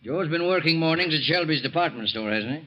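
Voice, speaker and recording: An actor playing a blunt, suspicious police captain in a 1940s radio drama, asking dry, probing questions. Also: male voice, fairly high pitch, expressive, a little fast, muffled recording, natural voice.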